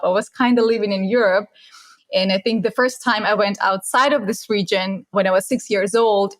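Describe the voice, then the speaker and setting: Russian-accented young woman, podcast guest, earnest and reflective, a little non-native phrasing. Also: mid-range voice. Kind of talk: casual interview chat.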